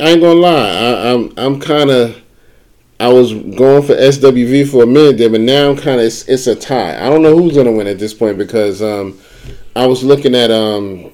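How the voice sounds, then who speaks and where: A man's voice: mid-range voice, darkish tone. A man hosting a podcast, chatting casually in a laid-back way. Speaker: podcast host. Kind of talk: casual chat.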